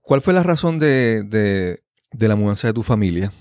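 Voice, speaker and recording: A man's voice: fairly low pitch, big pitch swings, normal speed, a little mellow, no echo, clean studio sound. A man hosting a podcast, introducing and interviewing in a conversational way. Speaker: podcast host.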